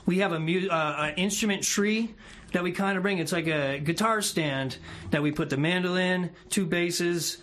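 A man's voice: high-pitched, normal speed, fairly bright tone. A male musician guest in a casual radio interview, explaining things in a rambling way. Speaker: musician guest, bass player and songwriter. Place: radio studio.

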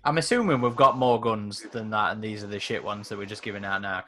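A man's voice: lowish voice, fast talker, fairly bright tone. A man playing a tabletop game, chatting casually and bluntly in informal language.

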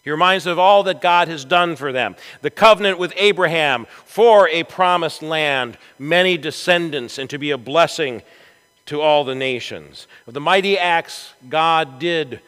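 A man preaching a storytelling sermon in a church, with a dramatic, deliberate delivery and emphatic swells. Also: fairly high pitch, a bit bright, smooth. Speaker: pastor preaching. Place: large church sanctuary, from the chancel. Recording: echoey church hall with long reverb.

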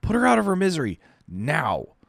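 A man voicing an angry male doctor who shouts sharp commands. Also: medium pitch, expressive, unhurried, no echo, studio quality, voice pushed up.

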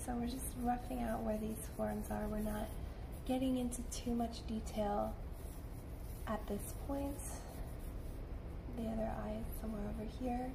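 A young woman with a slightly high, hushed voice talks softly through an ASMR art demonstration. She sounds informal and unhurried, as if thinking aloud. There is clear background noise, with pencil scratching on paper. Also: fairly even intonation. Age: young adult. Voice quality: soft, hushed, sometimes slipping into whisper. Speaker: illustrator and paleontology student demonstrating drawing. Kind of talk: soft-spoken ASMR art tutorial. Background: pencil and paper sounds, room noise.

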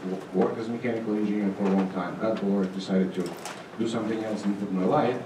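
Middle aged guy w presenting on stage, lowish voice, bit halting, noisy room. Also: steady tone. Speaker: conference presenter, state agency manager. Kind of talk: informal conference presentation. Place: auditorium stage. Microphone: handheld microphone, recorded from far camera. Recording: echoey hall, distant, noisy room sound.

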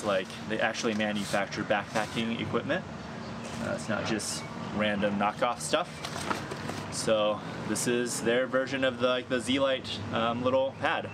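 A man in his thirties casually talking through his hiking gear in a sunny backyard, thinking aloud with fillers and small laughs. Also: medium pitch. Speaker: hiking vlogger. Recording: outdoor noise behind the voice.

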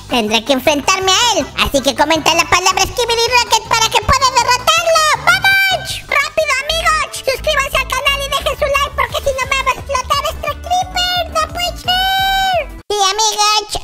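A host voicing a baby boy character in a very high, childlike voice, giving excitable, exclamatory Minecraft roleplay commentary and repeating words. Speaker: gaming video host. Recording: children's music behind the voice. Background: loud playful children's music.

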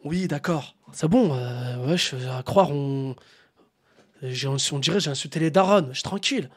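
Young man, prank caller, taunting, trash-talking, high husky voice, close studio mic, small room. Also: normal speed, no room sound. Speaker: streamer making a prank call. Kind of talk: live-streamed prank phone call. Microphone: studio mic on stand, wearing headset.

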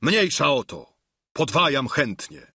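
A male reader plays a lofty, solemn knight from a storybook. He exhorts kindly and grandly in a husky, mid-pitched voice with animated swings. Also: slow pace, no echo, studio quality, heavily acted. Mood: urgent, concerned.

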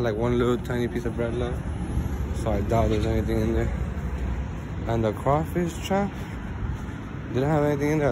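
A young man in his twenties chatting casually to his phone camera outdoors by a lake, rambling and getting excited. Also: medium pitch. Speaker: vlogger. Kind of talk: casual vlog narration. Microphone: phone held in hand. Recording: loud outdoor noise, traffic.

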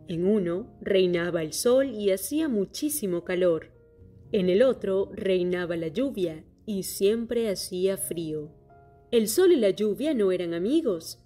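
A woman reading a children's story aloud in a clear, steady storytelling manner. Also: medium pitch. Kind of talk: scripted children's story narration. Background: soft music.

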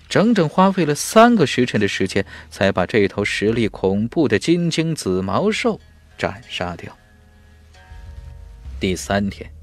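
Male audiobook narrator, lowish and very lively, emphatic fantasy storytelling, close dry recording. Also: no room sound. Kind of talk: dramatic scripted narration of a fantasy novel.

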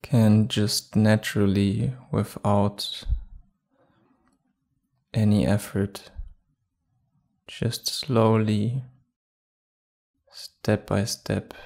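Very slow, even-toned male meditation guide. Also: on the low side, gravelly. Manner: calm, unhurried, with long pauses between short phrases.

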